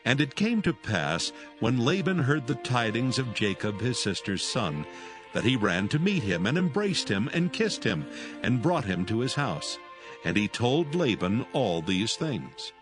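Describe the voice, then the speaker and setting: A man's voice: mid-range voice, very animated, unhurried. Solemn male scripture narrator over music.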